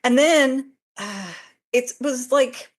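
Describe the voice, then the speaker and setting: A woman chatting casually as an interview guest, informal and expressive, voicing her own reactions. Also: sits high, takes it slowly. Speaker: interview guest, fanfiction author. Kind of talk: casual chat.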